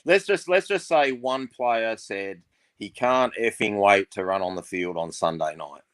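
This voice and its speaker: A man's voice: fairly low pitch, expressive, measured pace, a bit bright, clean tone, no echo. A man co-hosting a fan podcast, teasing and rallying the listeners with fired-up energy. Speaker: podcast co-host. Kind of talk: casual fan podcast chat.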